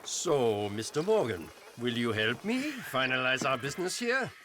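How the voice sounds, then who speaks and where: An actor playing a prim, evasive moneylender and bookkeeper in a video game, with halting but persuasive speech. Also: male voice, mid-range voice, big pitch swings, bright, forceful tone, slight background noise. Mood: evasive, businesslike. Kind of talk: video game cutscene acting.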